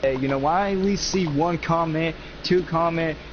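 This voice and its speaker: A young man of eighteen with a fairly high voice chats casually over a game. He is earnest and emphatic, repeating phrases as he goes, through a muffled microphone with clear background noise. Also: unhurried. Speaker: gaming YouTuber, co-host. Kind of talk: casual chat over gameplay. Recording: noisy, muffled lo-fi mic.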